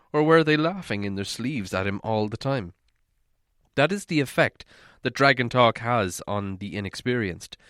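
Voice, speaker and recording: A man's voice: mid-range voice, a bit bright, dry recording. Dry-sounding man narrating a storybook. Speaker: audiobook narrator.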